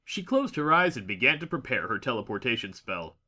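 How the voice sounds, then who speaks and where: A man's voice: mid-range voice, very animated, fairly quick, heavy background noise. A man narrating a fantasy story as an audiobook reader, in a dramatic scripted style.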